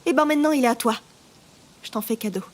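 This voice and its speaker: A woman performs a young combat trainer in a radio play. Her voice is high, and she is tough, teasing and affectionate with her pupil. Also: quick pace, natural voice. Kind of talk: audio drama acting.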